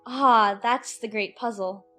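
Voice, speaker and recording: A woman's voice: fairly high pitch, fairly even intonation, slow pace, pushed and bright, faint music behind. A woman playing a curious, chattering young girl who muses aloud to herself in a dramatized audiobook. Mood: puzzled. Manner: talking to herself, musing aloud. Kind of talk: dramatized audiobook character acting.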